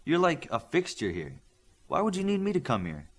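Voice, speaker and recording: A man's voice: on the high side, wildly swooping pitch, normal speed, fairly bright tone, voice pushed up, heavily acted. Man reading as an assured veteran combat instructor. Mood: measured.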